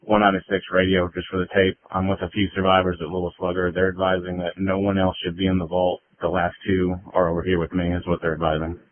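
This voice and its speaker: A man's voice: sits low, completely flat delivery, fast, hardly any pauses. A male police officer calling in terse, matter-of-fact reports over a police radio. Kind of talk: radio call-in. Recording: narrowband police radio transmission.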